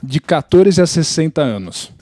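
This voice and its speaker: A man's voice: a little high, very animated, measured pace, dark tone, no room sound, soft background music. A Brazilian man explaining things casually on a podcast, often checking in with tag questions. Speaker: podcast panelist.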